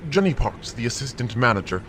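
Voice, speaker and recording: A man's voice: medium pitch, big pitch swings, fairly quick, a bit bright. A man playing a flustered, defensive store manager in an audio drama. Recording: faint outdoor background noise.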